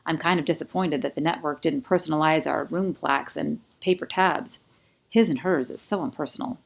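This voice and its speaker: A woman's voice: low voice, a little fast, vocal fry. Woman voicing Kai, an intense young man. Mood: teasing.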